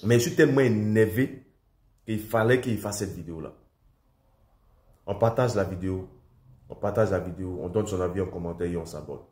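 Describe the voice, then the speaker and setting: A man's voice: low voice, brisk, pauses often, darkish tone. A man with an Ivorian French accent and a cold, giving indignant political commentary that rises to emphatic outbursts. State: has a cold. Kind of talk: impassioned video commentary. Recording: roomy echo.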